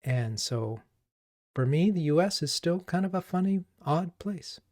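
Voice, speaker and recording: A man from Japan hosting a coding livestream as a coach, chatting casually and haltingly as he thinks aloud. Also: a little high, expressive, darkish tone, no echo, clean studio sound.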